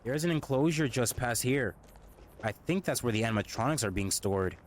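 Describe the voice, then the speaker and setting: A man's voice: medium pitch, expressive, moderate pace, slight background noise, lightly acted. Man as young male scout, confident, casual dialogue, mid-range voice, audio drama. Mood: casual, assured.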